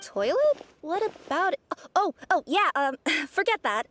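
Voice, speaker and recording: Squeaky, feisty little cat courier. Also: female voice, big pitch swings, unhurried, a little hiss or hum, full character voice. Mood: flustered. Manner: cutesy, brash, exclamatory. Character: feisty little female cat courier, cute, boastful and combative. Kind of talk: anime-style video game voice acting. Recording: loud music behind.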